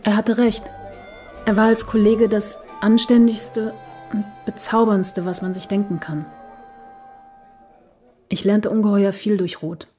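Actress as mellow, fond woman writer. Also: mid-range voice, flat delivery, measured pace, pauses often, soft background music, lightly acted. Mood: fond, wistful. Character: admiring woman writer recalling her younger days. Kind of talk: memoir narration in radio play.

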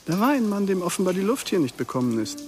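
An actor playing a composed, formal stranger with a measured, coolly polite manner in a radio crime drama. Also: male voice, high-pitched, very animated. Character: composed, formal male stranger delivering a message.

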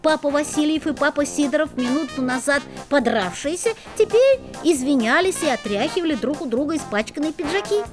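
A mischievous schoolboy telling his own story in a high, lightly lilting voice. It is a musical fairy-tale radio play, with music running under the narration. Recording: music playing behind.

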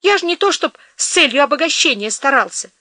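A woman's voice: big pitch swings, moderate pace, a bit bright, dry recording. A woman doing a jittery fawning peasant man. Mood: frightened fawning. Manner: nervous, high-pitched, ingratiating.

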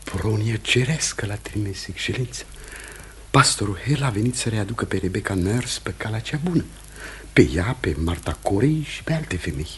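An actor playing an anxious, obsequious Puritan pastor in a radio theatre drama, hesitant and ingratiating. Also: male voice, on the low side, expressive, a little hiss or hum, lightly acted. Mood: ingratiating, polite.

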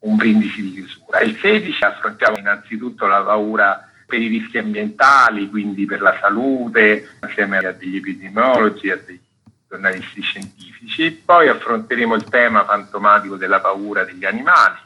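A man, a festival curator, answering interview questions on a radio program in a relaxed, meandering way. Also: lowish voice, fairly quick, husky, rough recording. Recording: radio broadcast.

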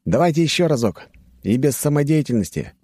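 A man's voice: mid-range voice, big pitch swings, brisk, warm tone, no echo, studio quality, pitched above the performer's own voice. A man playing a brash, commanding hunter in an audiobook, with a curt, insistent manner. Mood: barking orders. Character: brash, bossy young male hunter, commanding and exasperated. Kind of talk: audiobook character dialogue.

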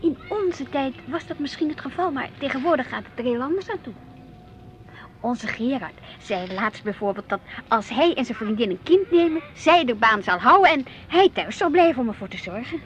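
An actress playing a middle-aged housewife in a radio drama, teasing and gently assertive, questioning and mildly protesting. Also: female voice, sits high, animated, normal speed. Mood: insistent. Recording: dull lo-fi radio play, faint noise.

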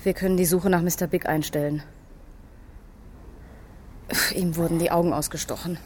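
A woman's voice: on the low side, steady tone, fast talker, darkish tone, a little hiss or hum, natural voice. A woman playing an experienced police detective in a radio crime drama, dry and matter-of-fact, a teasing but stern mentor. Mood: grave.

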